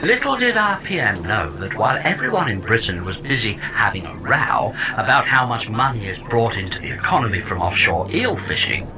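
A man's voice: on the low side, very animated, normal speed, few pauses, crowd noise, poor audio. Man as a wry robot sidekick, wildly swooping voice. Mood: gleeful explaining. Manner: wry, wordy explaining with dramatic flourishes. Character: chatty, wry robot pod sidekick, smug and theatrical. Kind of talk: comedy audio drama acting.

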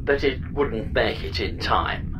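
A woman's voice: a bass voice, slow pace, background noise. A woman voicing a chirpy, scatterbrained space pod computer in a sci-fi audio comedy, speaking with whimsical, flippant, hammy relish. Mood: blithe.

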